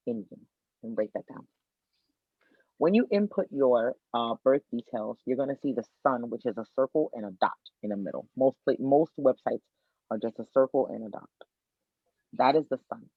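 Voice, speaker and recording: A man from Miami, Florida, explains birth charts as a podcast guest astrologer. He talks with lively, teacherly energy and circles back to repeat his main points. His voice comes through a tinny, phone-like line that sounds dry and close. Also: medium pitch, no room sound.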